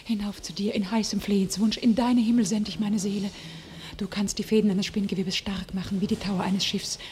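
An actress playing a devout young warrior maiden, speaking solemn, declamatory verse in a classic radio play. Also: female voice, mid-range voice, steady tone, brisk, a little mellow, slight background noise, close to an everyday voice. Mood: fervent prayer.

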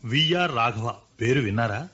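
An actor playing a brisk, matter-of-fact business associate in a radio play. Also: male voice, mid-range voice, expressive, sharp tone, husky. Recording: dull lo-fi radio recording.

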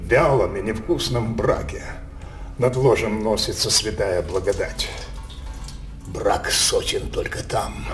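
An actor playing a decadent, aging king who is sensual and imperious, declaiming verse slowly in a radio drama. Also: medium pitch. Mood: mocking relish.

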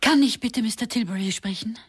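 A woman acting an anxious, distraught wife in a German radio play, urgent and at times hushed. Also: a little high, fairly quick, breathy edge. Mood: urgent, pleading. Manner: emotional, urgent, drifting into hushed whispers. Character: anxious, distraught wife hiding from her husband.

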